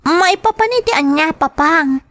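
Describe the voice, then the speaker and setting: An affectionate young schoolgirl in a radio drama, with a very high, childlike voice. She speaks expressively and gets upset easily, and the sound is close and dry. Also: animated, a little slow, fairly bright tone, no room sound, full character voice. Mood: surprised, hurt.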